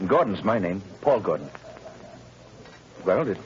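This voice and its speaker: A man's voice: deep voice, very animated, unhurried. An actor playing an earnest young man hired as a driver, in a 1930s radio drama. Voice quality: earnest, turns frantic when pleading. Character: young male driver, framed innocent. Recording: dull lo-fi old radio recording with hiss.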